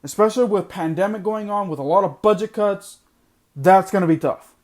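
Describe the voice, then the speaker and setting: A man with a high voice hosts a sports podcast and rants bluntly, hammering his points home by repeating them. His voice is going hoarse because he is losing it. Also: fairly quick. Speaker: podcast host. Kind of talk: opinionated sports podcast monologue.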